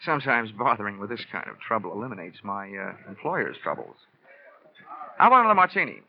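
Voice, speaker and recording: Cocky insurance investigator, American accent, swinging wry pitch, sardonic, old radio drama, muffled vintage recording. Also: male voice, very animated, fast talker, faint music behind. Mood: smooth, probing. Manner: wry, clipped, sardonic delivery. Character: wisecracking, tough, cocky male insurance investigator. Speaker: lead actor and narrator. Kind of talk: 1950s radio detective drama, hard-boiled narration. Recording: dull, band-limited old radio recording.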